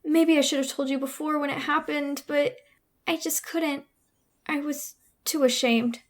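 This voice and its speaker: A woman's voice: sits high, steady tone, a little slow. A woman playing a resentful sixteen-year-old girl who acts the hurt innocent, in emotional old-time radio melodrama style. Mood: ashamed, pleading. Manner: emotional, theatrical.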